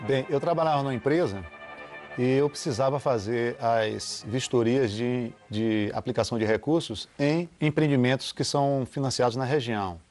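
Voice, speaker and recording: A man's voice: medium pitch, normal speed, a little mellow, faint music behind. Storytelling man acting out quotes. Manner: storyteller who acts out quoted dialogue. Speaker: interviewee. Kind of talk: anecdotal storytelling.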